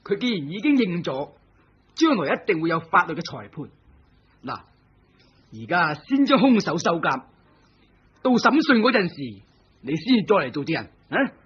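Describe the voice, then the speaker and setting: An actor playing a police inspector questioning a suspect, speaking Cantonese-accented Chinese in a vintage radio play. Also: male voice, high voice, very animated, normal speed, long pauses, slightly rough, exaggerated voice. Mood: stern. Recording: dull lo-fi old radio recording.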